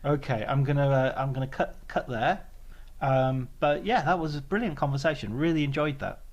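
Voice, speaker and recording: A man's voice: mid-range voice, moderate pace, dull audio. A man hosting a podcast, chatting in a friendly way, laughing easily and thinking aloud. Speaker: podcast host. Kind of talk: casual interview chat.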